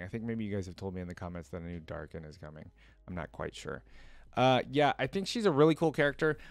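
A man's voice: mid-range voice, clean tone, no room sound. A man in his twenties chatting casually to viewers as a reaction video host, rambling and tentative.

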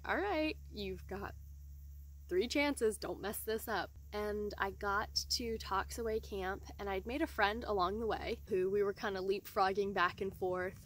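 Young woman vlogging outside, casual chatty storytelling, medium pitch, acts out who said what. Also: a little hiss or hum. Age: young adult. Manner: chatty, expressive, acts out quoted dialogue. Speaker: vlogger telling a hiking testimony. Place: outdoors in a pine forest.

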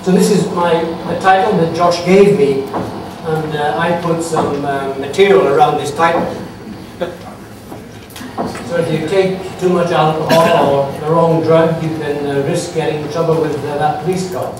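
A middle-aged man from Wales, his Welsh accent shaded by years of speaking Swedish, lectures a conference audience. He hesitates often and slips in dry humor. His slightly high voice carries from a distance through a heavily echoing hall with clear background noise. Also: steady tone. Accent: Welsh with Swedish influence. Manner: halting, many uh fillers, dry humor. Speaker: forensic science lecturer. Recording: echoey hall from far off, noisy.

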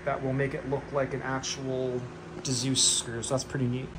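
A man's voice: medium pitch, little pitch movement, moderate pace, background noise. A man filming a casual, offhand walkthrough of his home workshop, joking at his own expense. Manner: offhand and self-deprecating, with fillers. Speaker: channel host.

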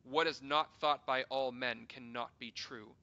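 A stern council elder thunders his judgment in a storybook reading, as a man puts him on. His voice is bright and loud, slow and weighty on each charge. He sounds cold and full of scorn. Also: medium pitch, fairly even intonation, muffled recording. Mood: accusing. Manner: loud, accusing, slow and deliberate.

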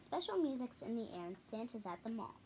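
A young girl of about ten to twelve reading a children's picture book aloud haltingly, with stumbles, repeats and casual asides. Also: on the high side, very animated, darkish tone, slight background noise. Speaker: storybook reader. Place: small living room at home, indoors.

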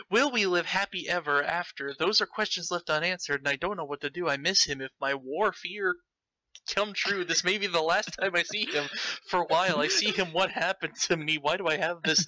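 A man's voice: high-pitched, sharp tone, no echo, poor audio. A man voicing a clingy young woman in love, pleading melodramatically in a story reading.

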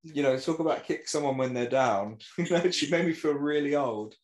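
A man's voice: a little high, normal speed. Ontspannen Britse man in galmende kamer. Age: 40s. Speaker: podcast co-host. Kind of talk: casual podcast chat. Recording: echoey room with faint noise.

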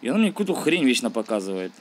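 A man's voice: medium pitch, big pitch swings, racing, slight background noise. A man giving casual, slangy let's-play commentary, thinking aloud and grumbling as he plays. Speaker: gaming video host.

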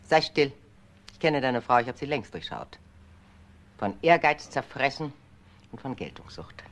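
A woman's voice: rumbling low, sing-song, unhurried, heavily acted. An actress playing a stern, bitter older mother in a German crime radio drama, speaking in a commanding, cutting way. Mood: cold, bitter. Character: stern, bitter elderly mother of a business family.

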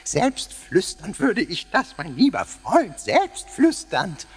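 An actor playing a cheeky, playful, excitable ghost in a children's radio play, theatrical and husky, tripping over his words. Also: high voice, slow pace, faint music behind, exaggerated voice. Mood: boastful, excited. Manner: theatrical, stammering over words, muddling them. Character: cheeky, playful, excitable old castle ghost, greedy for snacks.

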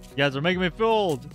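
A man in his twenties chatting casually with his livestream chat while playing, laid-back and quick to laugh. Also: sits high. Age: 20s. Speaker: livestream gamer. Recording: game music loud behind. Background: game music.

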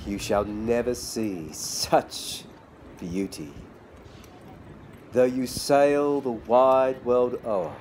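A man in his forties reciting poetry in a measured, deliberate way, recorded on a phone outdoors. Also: mid-range voice, a little mellow. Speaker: vlogger reading poetry. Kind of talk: slow poetry reading. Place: outdoors around a city harbour. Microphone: phone at arm's length. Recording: outdoor phone video, clear ambient street noise.

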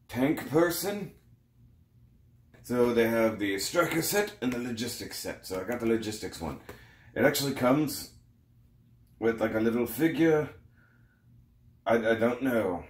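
A man's voice: mid-range voice, a little mellow. Chatty man with a slightly sore throat. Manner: rambling, self-correcting, chatty. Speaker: toy and model kit reviewer. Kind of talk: casual unboxing vlog. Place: home kitchen, indoors. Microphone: camera in front at close range. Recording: echoey kitchen.

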